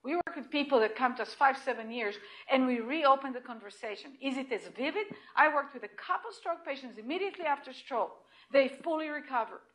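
A middle-aged woman with an Israeli accent, giving an animated lecture in a room, heard from a distant camera. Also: high voice, fairly quick. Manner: animated and emphatic, with restarts and repeated points. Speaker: lecturer at hospital grand rounds. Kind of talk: lecture presentation.

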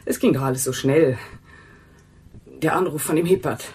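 A woman's voice: sits low, normal speed, darkish tone, breathy edge, heavily acted. A woman playing a defensive, agitated housekeeper in a radio crime drama, speaking in insistent, broken sentences. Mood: brooding, troubled. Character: defensive, agitated housekeeper protective of her brother. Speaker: actress in a crime radio play. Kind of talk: German radio drama acting.

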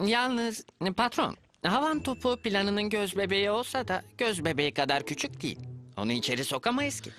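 A man's voice: high-pitched, big pitch swings, a little fast, fairly bright tone. A man voicing a villain's naive, questioning, easily hurt sidekick in a children's cartoon. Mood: doubtful. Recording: music faintly behind the voice.

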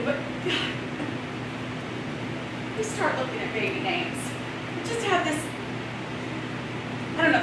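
A woman acting an anxious, hopeful would-be mother in a theatrical stage monologue. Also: sits high. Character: anxious, hopeful woman undergoing fertility treatment. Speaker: stage actress. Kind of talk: theatrical monologue before a live audience. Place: small stage before a seated audience. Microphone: far camera. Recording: echoey noisy stage, distant camera microphone.

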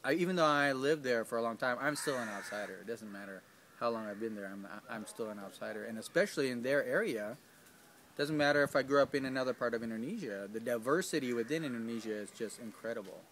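A man's voice: medium pitch, quick pace, a bit bright, a little hiss or hum. A man in his thirties answering interview questions outdoors in a garden, hesitant, with many ums and restarts. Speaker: field staff.

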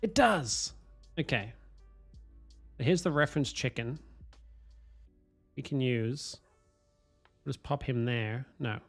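A man, streaming a game from home on a headset, hoarse from a cold, swearing and joking with chat. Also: medium pitch, animated, slow pace, long pauses, faint music behind. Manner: swearing, self-mocking, chatting to viewers. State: hoarse, losing his voice from a cold. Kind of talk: casual live-stream chatter while playing.

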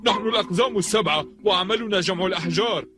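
A man playing a lively little forest dwarf in a dubbed cartoon, with an animated delivery. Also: high voice, very fast, music in the background, close to an everyday voice. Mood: proud. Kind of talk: dubbed cartoon character acting.

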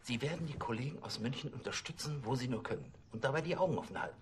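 A man's voice: mid-range voice, fast talker, slight background noise, lightly acted. A man playing a fussy, self-important provincial police chief who speechifies pompously and hesitantly in a TV drama. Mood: officious.

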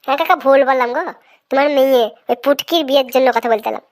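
Woman voicing a very high-pitched stubborn little boy. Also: very fast, close to an everyday voice. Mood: eager. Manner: insistent, childish. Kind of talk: comic Bengali cartoon dubbing.

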